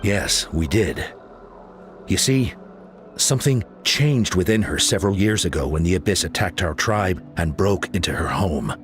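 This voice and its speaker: Low voiced caring game chief, grave, lots of rise and fall, soft music behind. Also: male voice, expressive, normal speed. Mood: solemn, sorrowful. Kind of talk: dramatic video game storytelling.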